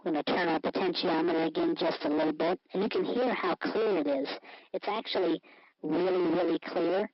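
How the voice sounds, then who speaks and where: Male tutorial host with artificially raised, voice-changer pitch, informal delivery, dull dry small-speaker sound. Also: steady tone, brisk, no echo. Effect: voice electronically pitched up by a voice changer, through a cheap speaker. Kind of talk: hands-on electronics demonstration.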